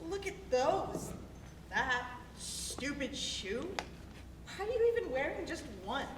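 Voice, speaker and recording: Distant roomy stage recording, actress as tough twenty-something woman, indignant, high animated voice, combative protests. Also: takes it slowly, heavily acted. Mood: scornful, combative. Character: tough, defiant twenty-five-year-old tattooed woman arrested. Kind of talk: staged reading of a stage musical. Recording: roomy, distant mic, noisy live hall.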